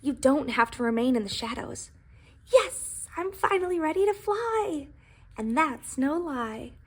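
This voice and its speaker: A woman's voice: sits high, lively intonation, a little slow. A woman voicing a humble, anxious and earnest young pie who tells its own story in a children's storybook, with dramatic emphasis. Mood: proud. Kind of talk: expressive storybook reading.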